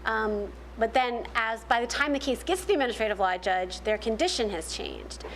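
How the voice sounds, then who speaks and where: Woman panelist, fairly high voice, explanatory and halting, faint room hum behind. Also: very animated, poor audio. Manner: explanatory, many restarts and fillers. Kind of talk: panel discussion answer.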